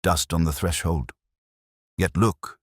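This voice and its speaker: A man voicing a composed, brilliant Victorian detective in an audiobook, speaking in precise, formal and deliberate phrases. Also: deep voice, steady tone, husky, no echo, clean studio sound, exaggerated voice. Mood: keen, deducing. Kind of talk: audiobook character dialogue.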